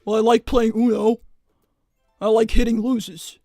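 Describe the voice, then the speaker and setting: A man acts a thuggish young cartoon bully with a very high-pitched voice. He is cocky and brash, talking in slangy threats. The sound is dry and close, with music underneath. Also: little pitch movement, moderate pace, warm tone, no room sound. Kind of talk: improvised cartoon character roleplay. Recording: music playing behind the voice.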